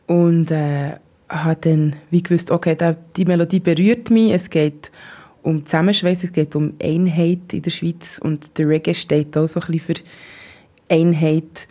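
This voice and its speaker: A Swiss German woman in her thirties, a singer, gives thoughtful answers with pauses and fillers. Her voice sits a little low and sounds warm and mellow. She is interviewed in a basement rehearsal room. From Switzerland. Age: 30s.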